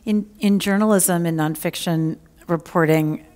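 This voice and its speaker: Low-voiced woman interviewer in a hall. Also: fairly even intonation, darkish tone. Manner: measured, attentive questioning. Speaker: interviewer and journalist. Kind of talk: onstage interview. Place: library event stage, large hall. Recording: hall reverb from a large room.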